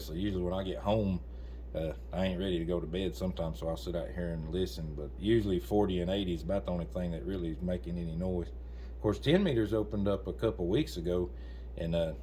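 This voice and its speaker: A man's voice: deep voice, warm tone, a little hiss or hum. A man chatting casually as an amateur radio operator, in a folksy way with colloquial grammar.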